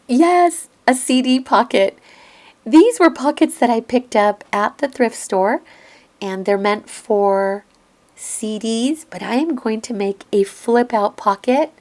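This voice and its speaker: A woman explaining a craft project step by step in a calm voice-over, her voice slightly hoarse and raspy from a lingering cough. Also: on the high side, slow pace. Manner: gentle step-by-step explaining, thinks aloud. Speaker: crafting tutorial host. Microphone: voice-over recorded apart from the video.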